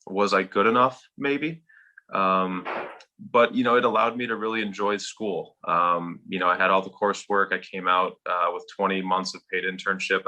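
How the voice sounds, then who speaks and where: A man in his thirties with a faint Minnesotan accent. His low voice has a sharp, forceful edge. He tells his story in a steady, reflective way as a guest in a casual interview. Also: low-quality recording. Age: 30s. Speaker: golf professional guest.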